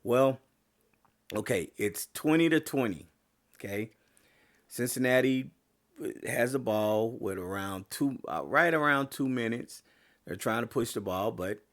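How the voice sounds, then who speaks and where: A man hosting a sports podcast, talking casually with lots of fillers and restarts, his voice getting a little hoarse. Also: on the low side. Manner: rambling, many fillers and restarts. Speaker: podcast host.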